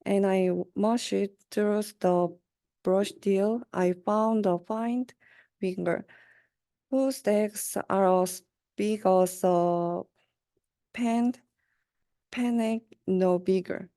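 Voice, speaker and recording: Young woman reading a kids rhyme book, super slow n halting, soft mellow tone. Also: mid-range voice, steady tone, smooth, no echo. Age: young adult. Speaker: student reader. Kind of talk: read-aloud of a rhyming children's storybook.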